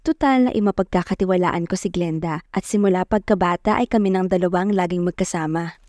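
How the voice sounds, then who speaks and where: A woman's voice: on the low side, a little fast, dark tone, no echo, studio quality, close to an everyday voice. An actress playing a poor, determined, lovestruck young provincial woman who narrates her own story earnestly and introspectively. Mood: confiding. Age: young adult. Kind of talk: scripted first-person dramatic narration. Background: music.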